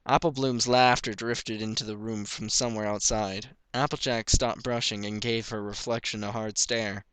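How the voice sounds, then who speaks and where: A man narrates a story from a script. His voice sits on the low side and is smooth and clear. The sound is dry, with little room in it. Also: flat delivery, normal speed, fairly bright tone, no room sound. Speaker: story reader.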